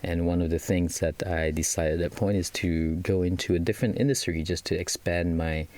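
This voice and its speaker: A man's voice: low-pitched, steady tone, a little fast, a little mellow. A Malaysian man in his thirties, a business guest in an interview, speaking with a Malaysian accent and many fillers and restarts. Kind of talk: casual interview talk.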